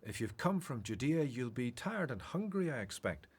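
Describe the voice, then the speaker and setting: Man playing husky-voiced, expressive gentle teacher. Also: medium pitch, normal speed. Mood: gentle, caring. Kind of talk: radio Bible drama acting.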